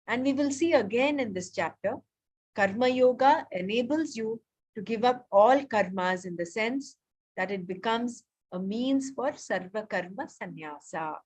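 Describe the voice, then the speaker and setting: A woman leading a casual scripture study group over a video call, explaining terms patiently and reading passages slowly. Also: mid-range voice. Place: home, remote meeting.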